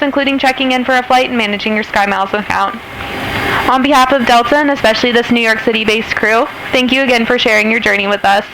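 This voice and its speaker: A woman's voice: fairly high pitch, vocal fry, a bit bright. A woman flight attendant making partly scripted cabin announcements over an aircraft PA. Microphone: cabin PA handset. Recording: noisy aircraft cabin PA system.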